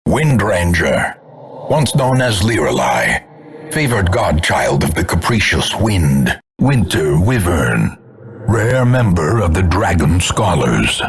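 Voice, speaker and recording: Game announcer: grim gothic fantasy narrator, very deep register, unhurried and portentous, theatrical dark grandeur. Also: male voice, sing-song, breathy edge, full character voice. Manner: grandiose, deliberate, theatrical, ominous delivery.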